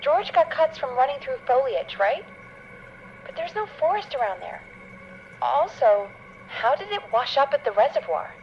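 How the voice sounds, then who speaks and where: Dull, slightly noisy sound frames an inquisitive female radio producer in a game. Her high voice reacts quickly, full of questions and disbelief. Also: sing-song. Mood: incredulous, puzzled. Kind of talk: video game dialogue.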